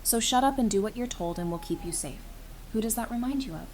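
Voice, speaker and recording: A woman's voice: fairly high pitch, animated, quick pace, a little mellow, lightly acted. Woman acting a plucky, wry survivor in rain. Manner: casual, wry, teasing. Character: plucky, teasing, warm-hearted young woman survivor. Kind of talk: audio drama acting. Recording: clear rain noise behind. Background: rain ambience.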